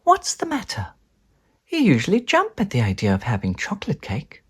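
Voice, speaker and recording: A suspicious storybook mum, put on by a man, high and sing-song. The voice probes gently, with big swoops in pitch. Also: huge pitch swings, moderate pace, breathy edge, exaggerated voice. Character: busy, suspicious mother questioning her son.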